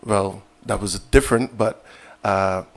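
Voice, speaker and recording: A man, a physician guest in an interview, answering in a measured, reflective way with many fillers and restarts. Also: fairly low pitch, fairly even intonation, vocal fry.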